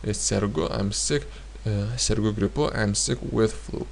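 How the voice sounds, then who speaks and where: A gravelly, lower-pitched man explains grammar at an unhurried crawl. He halts often on filler sounds and says each example sentence more than once. A faint hiss sits under the recording. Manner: hesitant, many filler pauses, repeats example sentences. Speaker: language teacher.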